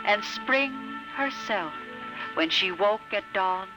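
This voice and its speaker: An actor playing a solemn phonograph voice reciting poetry in a measured, lyrical way, in 1950s radio style. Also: medium pitch, animated, poor audio. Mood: solemn, wistful. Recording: old radio recording with music.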